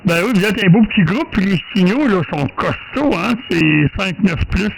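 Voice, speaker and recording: Quebec male radio amateur, high and fry-laden voice, casual, hesitant, slight noise behind. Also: expressive, moderate pace. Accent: Quebec French. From Quebec, Canada. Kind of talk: casual radio contact chat.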